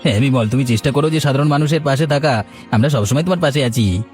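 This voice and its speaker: A man's voice: mid-range voice, quick pace, darkish tone, faint music behind, natural voice. A man voicing a good-natured village working man in a cartoon, familiar and plain-spoken. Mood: supportive. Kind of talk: cartoon dialogue.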